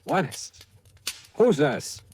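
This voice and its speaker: An actor playing a brisk, wry, seasoned insurance investigator in a 1950s American radio drama, with clipped conversational delivery. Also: male voice, on the high side, very animated, a little mellow, soft background music. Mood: groggy, teasing. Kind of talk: 1950s radio detective acting. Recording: old radio drama recording, faint noise.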